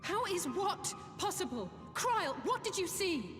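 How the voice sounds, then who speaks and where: A woman's voice: very high-pitched, sing-song, unhurried, a bit bright, full character voice. A woman playing an earnest, formal young noblewoman in a video game cutscene. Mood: alarmed. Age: young adult. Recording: echoey reverberant hall, music behind.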